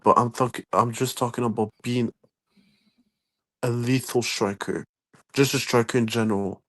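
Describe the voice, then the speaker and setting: A man's voice: mid-range voice, fairly even intonation, normal speed, fairly bright tone. Firm, opinionated man in casual chat.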